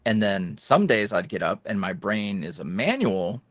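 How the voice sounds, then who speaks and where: Male guest, animated pitch, chatty and rambling, dry close sound. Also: moderate pace, dry recording. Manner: rambling, lots of fillers and restarts. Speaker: guest, ASMR roleplay creator. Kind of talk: casual interview chat.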